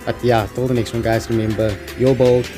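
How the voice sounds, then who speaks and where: A man's voice: mid-range voice, flat delivery, fairly quick, over music. A man with a South African accent giving a casual, hands-on DIY tutorial, talking informally to his viewers. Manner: informal, addresses viewers as guys. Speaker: presenter of a DIY installation tutorial.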